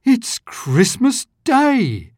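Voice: medium pitch, a little slow, no room sound, clean studio sound, pitched above the performer's own voice. A reader voicing an overjoyed old miser, calling out eagerly and exclaiming. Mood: giddy. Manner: excited, exclaiming.

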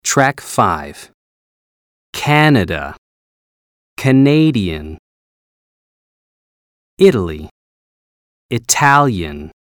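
A woman narrating textbook audio tracks with slow, deliberate announcing. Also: extremely low pitch, sing-song, no room sound, studio quality.

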